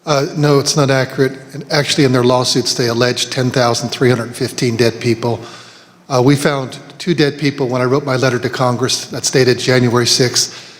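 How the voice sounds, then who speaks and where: A man's voice: mid-range voice, little pitch movement, moderate pace. A man giving testimony at a hearing, answering firmly and methodically, listing figures one by one. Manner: firm, methodical, lists figures. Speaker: testifying official. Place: large hearing room. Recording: long hall reverb with faint ambience.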